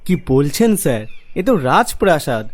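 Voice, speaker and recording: A man's voice: on the high side, big pitch swings, normal speed. A man playing an eager, deferential young village helper in acted cartoon dialogue. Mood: cheerful.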